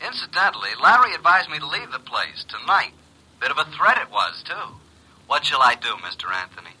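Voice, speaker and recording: Husky, wry private detective. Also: male voice, fairly high pitch, sing-song, fairly quick, bright, forceful tone, full character voice, poor audio. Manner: wry, casual, needling. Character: wry, probing male private detective, unflappable legman. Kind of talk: old-time radio detective drama acting.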